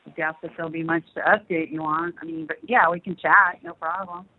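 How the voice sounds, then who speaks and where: A woman's voice: sits low, a little fast. Woman, middle-aged or older, casual family phone chat, hesitant delivery, thin telephone sound. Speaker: mother on a phone call, minding a baby. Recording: tinny, band-limited phone line.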